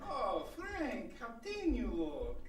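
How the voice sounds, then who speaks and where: A woman's voice: fairly high pitch, huge pitch swings, slow pace, exaggerated voice. A woman playing an effusive old immigrant grandmother with an Eastern European accent and broken English in a live radio comedy. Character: chatty old immigrant grandmother neighbor bringing food. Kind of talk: old-time radio comedy acting before a live audience. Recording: roomy live stage, distant mic, faint noise.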